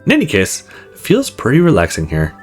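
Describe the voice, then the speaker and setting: Very animated male let's play host. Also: mid-range voice, brisk, faint music behind. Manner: acts out dialogue expressively. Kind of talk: animated visual novel read-aloud.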